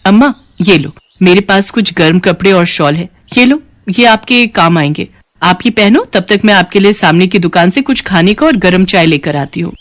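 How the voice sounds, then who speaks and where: A woman's voice: on the low side, animated, normal speed, natural voice. Woman voicing a kind, earnest young bride. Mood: caring. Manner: polite, earnest.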